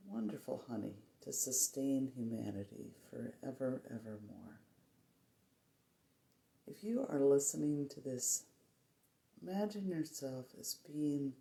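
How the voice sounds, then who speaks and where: A meditation guide speaking soothingly in short, measured phrases with long pauses, offering spiritual affirmations. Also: medium pitch, gravelly, dark tone. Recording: roomy echo, faint background noise.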